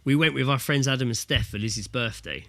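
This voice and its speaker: Bright southern English man, chatty podcaster. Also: mid-range voice, brisk, no echo. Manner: chatty, jokey, rambling storytelling. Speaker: podcast co-host. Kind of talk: casual comedic podcast chat.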